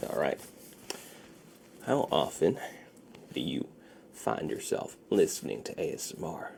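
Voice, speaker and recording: A man doing a soft-spoken ASMR roleplay as a shady, friendly underground dealer, with slow folksy patter that often drops to a near-whisper. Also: a little hiss or hum. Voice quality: gravelly, low, often breaking into near-whisper.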